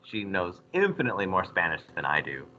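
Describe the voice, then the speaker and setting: Guy teaching a class, voice jumps all over, bright tone, kinda muffled lo-fi recording. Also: sits low, fairly quick, a little hiss or hum. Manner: animated, asks the class questions. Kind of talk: classroom lecture.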